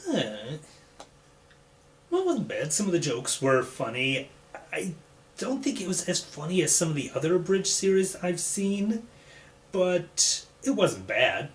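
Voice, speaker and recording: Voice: medium pitch, sing-song, measured pace. A reaction video host giving casual commentary in between moments of watching.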